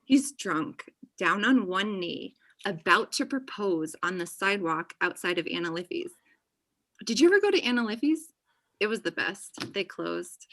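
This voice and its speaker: A woman acts out an overenthusiastic thirty-year-old woman in a comedy script. Her voice is bright and sharp at a middle pitch, full of chatty, possessive best-friend energy. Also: big pitch swings, normal speed. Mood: gushing, teasing. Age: young adult. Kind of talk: comedy table read. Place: indoor room on a video call. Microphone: video call.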